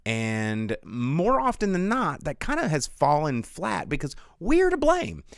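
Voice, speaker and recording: Animated, opinionated man riffing casually. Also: a little high, unhurried, gravelly, clean tone, dry recording, studio quality. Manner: animated, opinionated, slangy and sweary, acts out imagined quotes. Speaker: host of a live movie talk show. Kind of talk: casual live opinion monologue.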